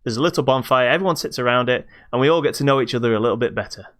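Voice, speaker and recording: A man's voice: medium pitch, very fast, a bit bright. A British man hosting a podcast, casually reviewing a video game in a hesitant, stop-start way.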